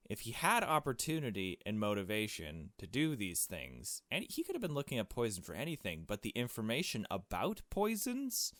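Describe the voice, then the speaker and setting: A man's voice: fairly low pitch, fairly bright tone, no room sound, clean studio sound. A man giving casual let's play commentary, thinking aloud with slangy, jokey asides as he reads through a game. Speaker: gaming video host.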